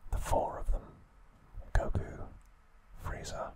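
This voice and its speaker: A man whispering an ASMR recap narration in short, hushed, unhurried phrases.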